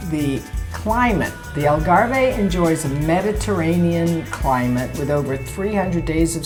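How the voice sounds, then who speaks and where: A woman co-hosting a casual chat, reading facts from notes and adding chatty asides. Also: low voice. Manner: chatty, reads facts then adds asides. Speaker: co-host.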